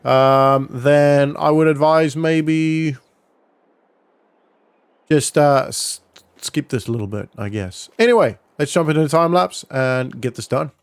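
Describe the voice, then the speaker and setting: Clear-voiced man narrating gameplay casually. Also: fairly high pitch, a little slow, no room sound. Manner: conversational, explanatory. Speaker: gaming vlogger. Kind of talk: casual roleplay gameplay commentary.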